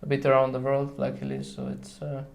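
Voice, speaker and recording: Male podcast guest, Hungarian accent, gravelly fry, hesitant conversational delivery. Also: medium pitch, monotone, a little fast. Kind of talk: casual conversational interview.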